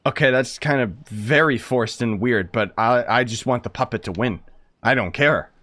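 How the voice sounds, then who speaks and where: A man's voice: medium pitch, moderate pace, bright, forceful tone. A man streaming a game, making sarcastic, jokey remarks about the story. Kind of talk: let's play commentary.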